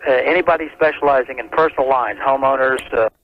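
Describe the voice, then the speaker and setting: Halting American man on dull cellphone line. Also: medium pitch, a little hiss or hum. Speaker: insurance agent calling in. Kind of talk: explanatory phone talk. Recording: dull cellular phone line on radio.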